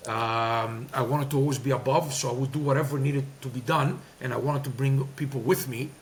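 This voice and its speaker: A man's voice: mid-range voice, fairly even intonation, brisk, slight background noise. A middle-aged man with a Greek accent, talking candidly and emphatically in an interview, with many fillers and restarts. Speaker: guest, former player and coach.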